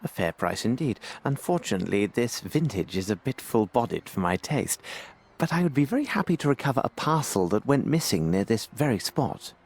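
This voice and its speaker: An actor playing a self-assured, inquisitive British gentleman detective in a video game, with formal, precise speech. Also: mid-range voice, sing-song, brisk, slightly rough. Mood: coolly probing.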